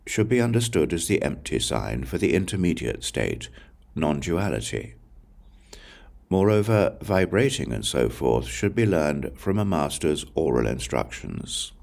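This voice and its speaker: An extremely low-voiced man narrates a sacred text with a creaky, gravelly grain. His delivery is measured and solemn, set out with deliberate pauses, while his intonation moves widely up and down like a formal recitation. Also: a little mellow. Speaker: audiobook reader. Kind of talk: scripted narration of a Buddhist scripture.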